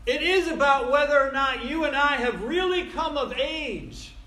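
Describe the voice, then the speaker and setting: A man's voice: very high-pitched, expressive, normal speed, pushed and bright. A man in his sixties preaching a sermon in a folksy, conversational way, asking rhetorical questions and repeating phrases for emphasis. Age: 60s. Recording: echoey room, faint background noise.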